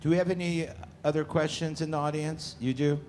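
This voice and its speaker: A man's voice: fairly high pitch, flat delivery, brisk, a little mellow, a little hiss or hum. A man moderating a panel on an outdoor festival stage, talking in a rambling, emphatic, opinionated way. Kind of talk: panel discussion.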